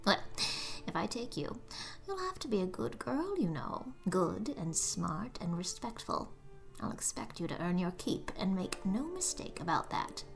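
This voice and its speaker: A woman playing a sharp, stingy, bossy and overworked mother, curt and demanding, in a dramatized storybook. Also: medium pitch, sing-song, unhurried, vocal fry, soft background music. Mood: appraising, stern. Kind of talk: dramatized audiobook acting.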